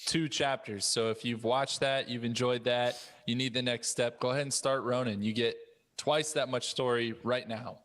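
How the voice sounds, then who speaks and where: A man's voice: mid-range voice, steady tone, normal speed, fairly bright tone. A man co-hosting a podcast, chatting casually with a wry, joking manner. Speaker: podcast co-host. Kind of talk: casual chat.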